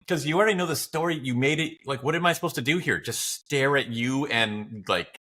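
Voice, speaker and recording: A man's voice: mid-range voice, brisk, sharp tone. A man talking casually as a podcast co-host, reflective and encouraging. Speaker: podcast co-host and video creator. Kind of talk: casual chat.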